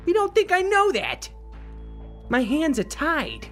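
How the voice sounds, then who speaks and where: A man playing a frustrated, obsessive police detective in an audio drama, halting and agitated, with a short fuse. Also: very high voice, huge pitch swings, a bit bright, faint music behind, heavily acted. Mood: irritated, bitter.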